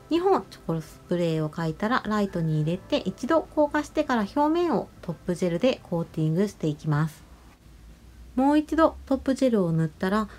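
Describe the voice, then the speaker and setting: A woman nail artist narrating a tutorial voice-over, explaining each step politely and giving gentle advice. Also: medium pitch. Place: voice-over over close-up salon footage. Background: music.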